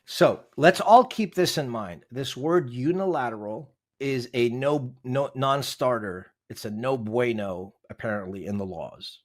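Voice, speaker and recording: A man's voice: medium pitch, measured pace, hardly any pauses. A man, a podcast guest, explaining legal text in conversation, emphatic and quizzing the host with rhetorical questions.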